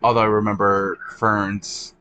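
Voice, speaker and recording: A man's voice: on the low side, little pitch movement, unhurried, crowd noise. A man hosting a casual reaction chat, talking in a rambling way with restarts. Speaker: host.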